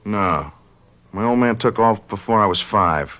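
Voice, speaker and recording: A man's voice: lowish voice, expressive, measured pace, a bit bright. An actor playing a brash, troubled young college man in a radio drama, with slangy, stammering, defensive speech. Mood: agitated, bitter. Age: young adult.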